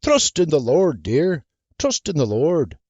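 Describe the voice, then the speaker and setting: A narrator voicing a steady, faithful young farmhand who speaks in an earnest, mild manner. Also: male voice, on the high side, takes it slowly, slightly rough, no room sound, highs cut off, pitched above the performer's own voice, exaggerated voice.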